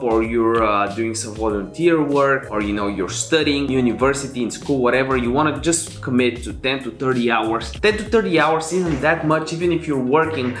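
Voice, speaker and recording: A man's voice: mid-range voice, a bit bright, reverberant. A young man from Bulgaria with a Bulgarian accent, hosting a chatty, upbeat screen-share tutorial on his own channel. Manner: upbeat, chatty, self-promoting. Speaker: YouTube host.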